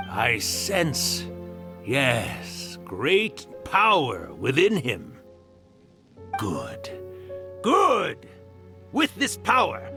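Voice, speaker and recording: A man's voice: on the high side, heavily acted. A man voicing a theatrical, mischievous male yokai spirit in a video game, with a grandiose, teasing drawl. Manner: grandiose, teasing, dramatic drawl with swooping emphasis. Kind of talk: scripted video game voice acting.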